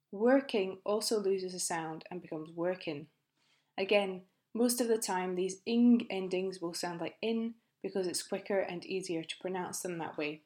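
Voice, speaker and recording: A woman with a British accent talks through English listening clips at a careful, measured pace. Her delivery is clear and explanatory, like a scripted lesson. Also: lowish voice, darkish tone. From Britain. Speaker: English pronunciation teacher.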